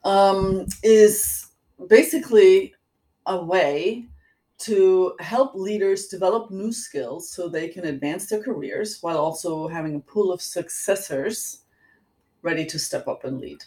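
A middle-aged woman with a German accent explaining things as an interview guest, in a measured, precise way. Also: mid-range voice, warm tone. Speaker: interview guest, executive coach. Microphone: online call. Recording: roomy echo, distant microphone.

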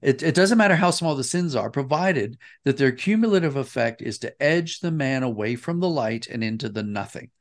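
A man's voice: mid-range voice, expressive, normal speed, few pauses. A man speaking as an interview guest, explaining his reflections earnestly and with emphasis. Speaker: interview guest, audio dramatist.

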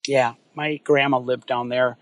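A man from Minnesota talks as a podcast guest at a middle pitch. He speaks loosely, with lots of fillers and restarts. His voice sits close and dry on the mic. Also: unhurried, no room sound. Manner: relaxed, rambling with many fillers. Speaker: guest, wildfire fighter. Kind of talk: casual interview chat.

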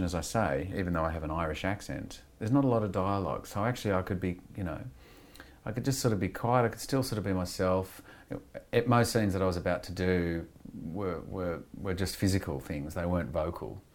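A middle-aged Australian man talking candidly in an interview, rambling with frequent restarts and "you know" fillers. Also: deep voice. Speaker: actor being interviewed. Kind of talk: reflective conversational interview.

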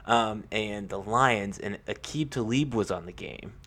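A man co-hosting a casual sports podcast, talking in a halting way with repeated words and lots of "like". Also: fairly low pitch, bright, forceful tone. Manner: halting, repeats himself, peppers sentences with "like".

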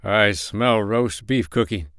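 A man voicing a loyal, earnest dog with a gruff, mumbling growl in a children's story. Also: medium pitch, expressive, unhurried, a bit bright, dry recording, clean studio sound. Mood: drowsy.

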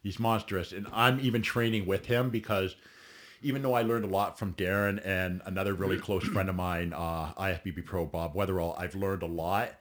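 A man's voice: low-pitched, steady tone, a little fast, fairly bright tone. A man in his forties, a bodybuilder guest telling his story bluntly in a podcast interview, swearing freely and often restarting. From Ontario, Canada.

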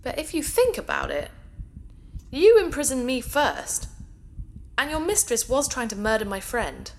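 A naive, caring female AI played by a woman from a sci-fi audio drama. Her voice is fairly high and very animated, with an earnest, childlike simplicity. There is faint noise behind her. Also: unhurried, gravelly, heavily acted. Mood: calm, reasoning. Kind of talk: science fiction audio drama acting.

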